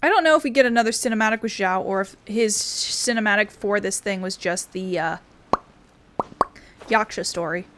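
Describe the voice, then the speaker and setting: A woman's voice: mid-range voice, moderate pace, a bit bright, a little hiss or hum. A woman hosting a gaming video, commenting casually and reading the game's dialogue choices aloud.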